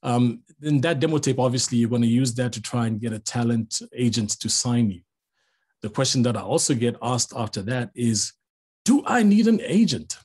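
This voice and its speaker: A middle-aged man from Zambia, a voice-over artist giving an online master class in a relaxed, chatty way with small jokes, heard over a video call. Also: medium pitch, moderate pace, husky.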